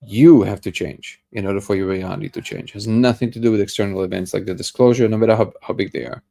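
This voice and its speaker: A man's voice: fairly low pitch, steady tone, fast talker, gravelly, dark tone. A man hosting a daily livestream, chatting casually and greeting viewers by name. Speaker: livestream host. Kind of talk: casual live chat reading comments.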